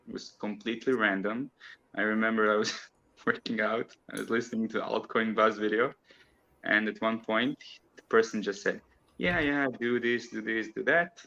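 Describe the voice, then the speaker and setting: A man's voice: lowish voice, moderate pace, creaky, sharp tone. Croatian man on a dull, lo-fi call. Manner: chatty, laughs often while explaining. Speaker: guest. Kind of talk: casual online chat.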